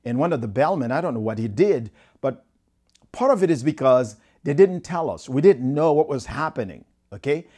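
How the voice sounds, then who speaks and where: A man's voice: mid-range voice, expressive, moderate pace, warm tone. A middle-aged man with a Haitian accent speaks straight to camera as a motivational speaker, talking earnestly about the workplace, recorded in a studio on a lapel mic. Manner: earnest, gestures with both hands while talking. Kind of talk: direct-to-camera talk.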